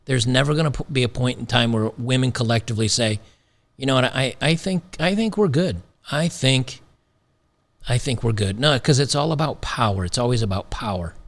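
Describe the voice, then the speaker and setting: A man's voice: mid-range voice, normal speed. A man hosting an opinionated commentary show, emphatic and sarcastic, repeating phrases for effect, his voice a little worn today. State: slightly losing his voice. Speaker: commentary channel host.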